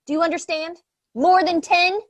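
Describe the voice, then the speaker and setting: Woman playing a high, panicky committee member. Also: steady tone, moderate pace, dry recording. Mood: panicked. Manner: alarmist, repetitive, dramatic. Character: panicky, overanxious woman on theater board. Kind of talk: farcical comedy acting.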